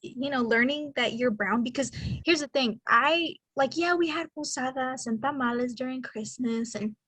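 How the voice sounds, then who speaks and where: Young woman podcast co-host, fairly high husky voice, dry close mic, reflective and rambling. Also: moderate pace, fairly bright tone, no room sound. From Mexican American. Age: 20s. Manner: reflective, rambling, many fillers. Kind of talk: casual conversation.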